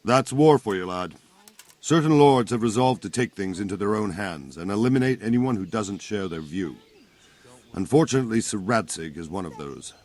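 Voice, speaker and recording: A man's voice: lowish voice, a little mellow. An actor playing a seasoned, plain-spoken soldier captain in a video game, patiently explaining things to a younger man. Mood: world-weary, explaining. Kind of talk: video game cutscene acting.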